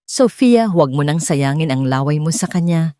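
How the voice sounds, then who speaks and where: A woman's voice: deep voice, lively intonation, moderate pace, mellow, no room sound, clean studio sound. A woman voicing a cruel, arrogant cheating husband who speaks with haughty contempt, in an audio drama. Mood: dismissive.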